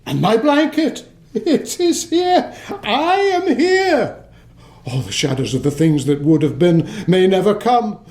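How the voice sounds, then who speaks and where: Radio drama lead: repentant old miser, high-pitched, stammering, theatrical. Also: male voice, very animated, slow, darkish tone, heavily acted. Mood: giddy relief. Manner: stammering, breathless exclamations. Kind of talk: stage-like radio play acting.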